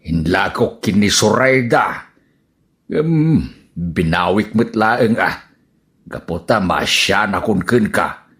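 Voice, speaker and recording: A man's voice: on the low side, animated, takes it slowly, a bit bright. A man playing a jealous, whiny husband in an Ilocano radio comedy drama, with an Ilocano accent. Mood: sulky.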